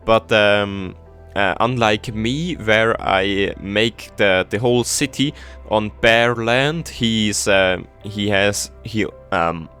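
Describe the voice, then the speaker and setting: A man's voice: lowish voice, slow pace, a bit bright, smooth, soft background music. A young man whose first language is German, chatting casually over his city-building game with many fillers and restarts. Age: teens. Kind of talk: casual gameplay commentary.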